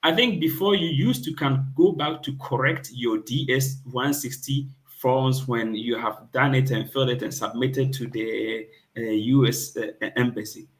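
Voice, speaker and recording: A man's voice: medium pitch, a little slow, few pauses, low-quality recording. A man hosting a casual chat, jumping in with asides and quoting people's excuses. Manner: chatty, interjects with asides and quoted examples.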